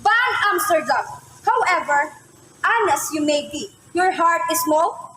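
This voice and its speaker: Woman acting a high-voiced, demanding old woman customer. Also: expressive, a little slow, recorded from a distance, a little hiss or hum, full character voice. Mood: scolding. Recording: echoey room, loud music behind.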